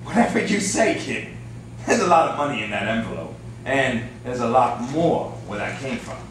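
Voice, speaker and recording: A man's voice: medium pitch, big pitch swings, normal speed, a bit bright. A man in an amateur staged reading playing a scheming fight fixer, bossy and condescending, with a veiled menace. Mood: condescending, insistent. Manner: wheedling yet menacing, condescending. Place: echoey indoor room, staged reading. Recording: distant echoey room, faint noise.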